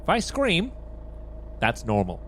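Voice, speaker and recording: A man's voice: fairly high pitch, wildly swooping pitch, slow pace, a bit bright, a little hiss or hum. A man playing a tabletop roleplaying game, switching between casual game chat and speaking as his character.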